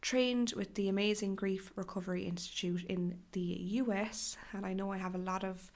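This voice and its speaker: Hoarse Irish woman hosting a podcast. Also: mid-range voice, fairly even intonation, normal speed. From Ireland. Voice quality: crackly, breathy in places. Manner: gentle, chatty, with fillers and restarts. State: hoarse from a cough. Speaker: podcast host. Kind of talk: solo podcast talk.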